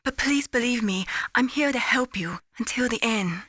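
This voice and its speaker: Game casting: caring young female radio operator, slightly high and bright, earnest, gently chiding, very dry sound. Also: normal speed, no room sound. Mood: troubled, earnest. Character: caring young Chinese-American woman, mission radio support operator. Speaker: mission support operator. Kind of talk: video game voice acting.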